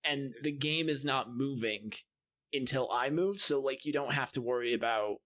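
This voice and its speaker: Male panelist with an average-pitched voice, informal, conversational gaming chat. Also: normal speed, fairly bright tone. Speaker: podcast panelist.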